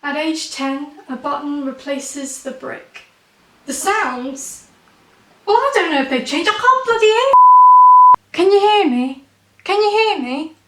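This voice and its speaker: Croaky-voiced young woman, roomy. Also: sits high, lively intonation, a little slow, pauses often, fairly bright tone, far from the mic, a little hiss or hum. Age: 20s. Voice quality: croaky, blocked-nose voice. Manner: wry, self-mocking, punchy delivery. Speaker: spoken word poet. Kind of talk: spoken word poetry performance.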